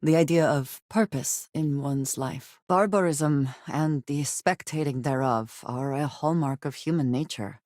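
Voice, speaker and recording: A guarded, principled spacefaring woman for a video game. She chooses formal, measured words and speaks with earnest care. The recording is dry and close, with no room around the voice. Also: low-pitched, darkish tone, no room sound. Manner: formal, measured phrasing with few contractions. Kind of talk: video game character dialogue.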